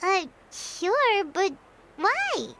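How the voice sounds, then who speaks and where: A woman voicing a small, curious, excitable fairy companion in a video game, with a squeaky childlike voice. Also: extremely slow, slight background noise, exaggerated voice. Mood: startled, puzzled. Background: music.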